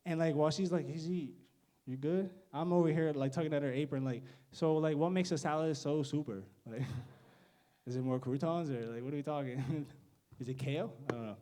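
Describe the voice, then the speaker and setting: A man's voice: a little high, fairly quick, warm tone. A young man doing a stand-up set, awkward and self-mocking, laughing at his own jokes. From United States. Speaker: stand-up comedian.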